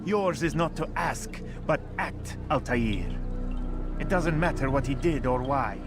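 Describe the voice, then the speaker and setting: An actor playing a curt, sardonic informant in a video game, with a clipped and dismissive manner. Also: male voice, medium pitch, lively intonation, a little slow, lightly acted. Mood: impatient, dismissive. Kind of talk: dramatic video game acting. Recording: music behind the voice.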